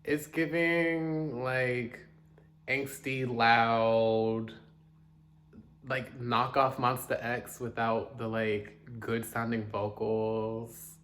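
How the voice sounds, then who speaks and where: A man's voice: medium pitch, flat delivery, very slow, fairly bright tone. A man casually chatting through his music reviews, chatty and self-deprecating, with joking asides. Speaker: K-pop reaction host.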